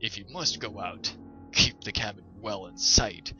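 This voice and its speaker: A man's voice: on the low side, a little slow, sharp tone, muffled recording. A man voicing a hard-working, henpecked trapper in a plain-spoken, firm storybook character voice. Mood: stern warning. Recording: music behind the voice.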